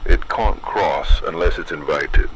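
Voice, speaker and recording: A man reading a scripted horror narration in an ominous, deliberate manner, addressing the listener directly. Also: rumbling low, fairly bright tone, a little hiss or hum, rough recording.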